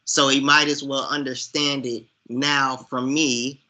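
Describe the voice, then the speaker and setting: A man with a forceful, bright voice at a middle pitch talks through a film as a co-host. He takes his time, sounding earnest and opinionated, and leans on his points with emphasis. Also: level tone, measured pace. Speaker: podcast co-host discussing films. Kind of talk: conversational film commentary.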